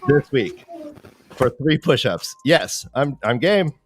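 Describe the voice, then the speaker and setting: A man's voice: mid-range voice, very animated, slow, a little hiss or hum. A man co-hosting a casual, joking livestream with playful boasting, often repeating his phrases. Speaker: livestream co-host. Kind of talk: casual joking fantasy football banter.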